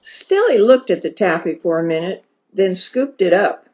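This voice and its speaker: A woman reading a children's story aloud as an expressive narrator, stretching words for emphasis. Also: on the low side, moderate pace, breathy edge. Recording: dull lo-fi recording with music behind. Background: music.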